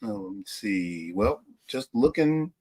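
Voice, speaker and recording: A man's voice: lowish voice, animated, slow, darkish tone. Guy guest reading comments off the stream, voice goes up and down a lot. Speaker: guest RV vlogger. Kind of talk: casual livestream chat.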